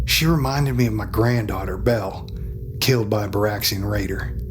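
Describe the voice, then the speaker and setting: An actor playing an older, haunted alien officer in a science fiction audio drama, speaking haltingly with sighs, music underneath. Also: male voice, lowish voice, natural voice. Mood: weary, guilt-ridden. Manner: halting, sighing, confessional. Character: gruff, haunted grandfather alien cowboy officer with stone-plated skin.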